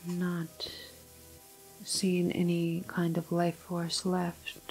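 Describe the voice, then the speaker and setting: A female doctor played by a woman dictates a grim medical log in an ASMR roleplay. Her voice is fairly low and even, with little rise or fall. She speaks very slowly and haltingly, softly slipping toward a whisper, with clinical detachment. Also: soft background music, low-quality recording. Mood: resigned, detached. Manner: soft, often half-whispered, halting, detached clinical delivery. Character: clinical female doctor logging a doomed quarantined patient.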